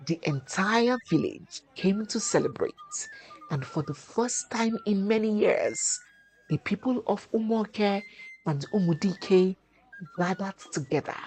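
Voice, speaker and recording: A woman narrating a scripted folktale as host, dramatic and deliberate, phrase by phrase. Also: mid-range voice, a little mellow, a little hiss or hum, low-quality recording. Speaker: storyteller and channel host.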